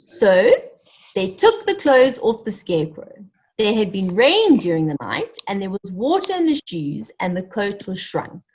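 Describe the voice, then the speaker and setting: Woman, children's storyteller, swooping intonation, breathy-husky edge, encouraging, interactive, speaks straight to young listeners. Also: medium pitch, a little slow, low-quality recording. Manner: animated, encouraging, addresses young listeners directly. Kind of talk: interactive children's story reading with questions and asides.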